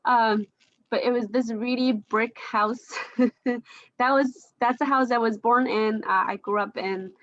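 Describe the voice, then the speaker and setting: A woman's voice: on the high side, fairly even intonation, unhurried, a bit bright, highs cut off. A woman from China, speaking English as a second language, moderating a casual video-call discussion with frequent restarts, fillers and laughs. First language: Chinese. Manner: hesitant, many restarts and fillers, laughs often. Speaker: panel moderator.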